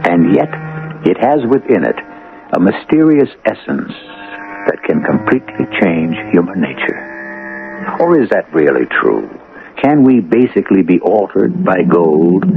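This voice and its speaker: An actor playing the host of a radio mystery series, narrating theatrically with deliberate dramatic pauses and philosophical, rhetorical questions. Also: male voice, low voice, creaky, narrow, tinny audio, noisy background, heavily acted. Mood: musing, portentous. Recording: band-limited old radio recording.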